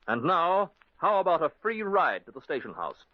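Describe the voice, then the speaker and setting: An actor playing a shrewd, confident detective who explains a case in old-time radio drama style. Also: male voice, fairly high pitch, big pitch swings, normal speed, loud music behind the voice. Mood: confident, triumphant. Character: shrewd, self-assured male detective explaining a smuggling case. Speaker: detective and story narrator in a radio mystery. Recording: dull lo-fi old radio recording.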